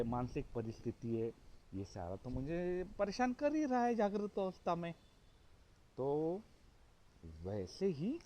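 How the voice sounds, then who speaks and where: Slow, hoarse man giving spiritual discourse. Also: on the high side, mellow. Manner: poses rhetorical questions and answers them himself. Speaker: spiritual teacher.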